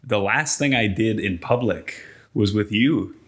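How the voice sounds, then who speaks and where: A man's voice: fairly low pitch, measured pace, fairly bright tone. A man co-hosting a podcast in a casual conversational style. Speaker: podcast co-host.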